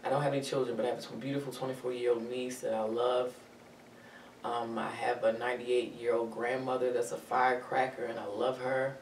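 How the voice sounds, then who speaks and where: A woman with a deep voice, talking casually as an interview guest and rambling through lists with fillers. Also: flat delivery, brisk, a little mellow, slight background noise. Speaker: interview guest, actress and model. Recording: echoey room, mic at a distance.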